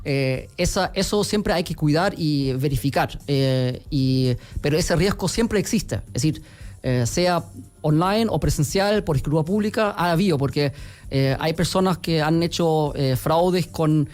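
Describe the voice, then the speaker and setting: A man's voice: on the high side, measured pace, a little mellow. A man with a German accent, giving hesitant, filler-heavy answers as a guest on a radio interview. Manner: hesitant, many fillers and restarts. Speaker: guest, company executive.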